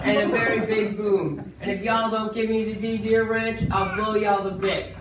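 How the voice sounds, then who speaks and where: A woman's voice: medium pitch, fairly even intonation, moderate pace, lightly acted. A woman playing a threatening, gloating villain in a Western stage melodrama, with a commanding theatrical delivery. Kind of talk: school stage play melodrama acting. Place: small indoor stage, recorded from audience. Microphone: distant camera microphone. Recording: echoey stage room, distant mic, loud noise.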